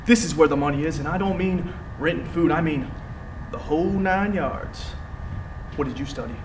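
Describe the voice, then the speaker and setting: A man's voice: a little high, moderate pace, close to an everyday voice. Actor as a cocky young ad man, distant recording. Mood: smug, brisk. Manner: smug, self-assured. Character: cocky, ambitious young male advertising man. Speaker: stage actor. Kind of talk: live stage play acting. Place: theatre stage, recorded from far off. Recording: distant roomy theatre recording, faint noise.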